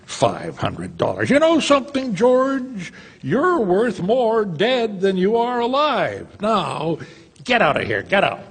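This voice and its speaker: An actor playing a cold, cruel old male banker, with a husky, sneering drawl. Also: high-pitched, very animated, slow, full character voice. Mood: menacing. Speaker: radio actor. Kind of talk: live radio drama acting. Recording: theater stage with long reverb.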